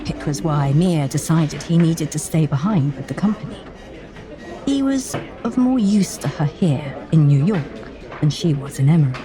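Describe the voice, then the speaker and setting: A woman's voice: sits low, animated, moderate pace, music in the background. A woman reading a scripted story as an audiobook narrator.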